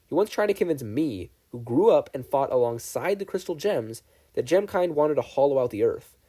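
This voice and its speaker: A man acts out a teenage boy who was raised as a soldier. He is earnest and guarded, firm and matter-of-fact, with a soft-edged, mellow voice and expressive pitch in an audiobook story. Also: normal speed, husky. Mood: dry, explanatory. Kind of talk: fan fiction audiobook character reading.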